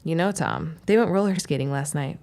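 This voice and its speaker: An actress playing a plainspoken, spirited young shopkeeper in a period audio drama, with a wry, forthright manner. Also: female voice, low voice, brisk, a little mellow. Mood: wry, friendly.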